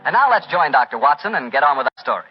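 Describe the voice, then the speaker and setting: Animated American 1940s radio announcer, a man. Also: a little high, fast, faint music behind. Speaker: radio announcer and sponsor pitchman. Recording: dull 1940s radio recording.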